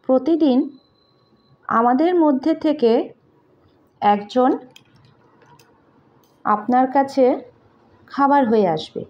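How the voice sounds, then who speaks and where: A woman with a Bengali accent reading a moral story aloud to children in simple, deliberate phrases, like a teacher. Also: high-pitched. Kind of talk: slow read-aloud moral story with translation. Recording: dull lo-fi home recording.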